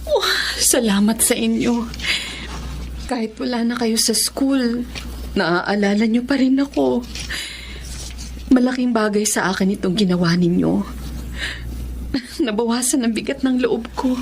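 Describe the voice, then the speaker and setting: A woman's voice: a little high, unhurried, pauses often, darkish tone, slight background noise. An actress with a Filipino accent playing a kind, sentimental, motherly teacher in a radio drama. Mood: touched, subdued. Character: kind, sentimental retired special-education teacher, motherly, grieving daughter.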